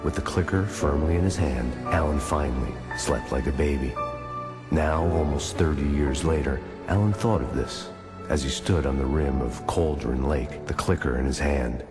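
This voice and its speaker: An actor playing a grave, ominous storyteller in a video game, a man narrating in a measured, solemn way. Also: rumbling low, over music. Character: grave, ominous male third-person storyteller. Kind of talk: scripted audiobook-like game narration.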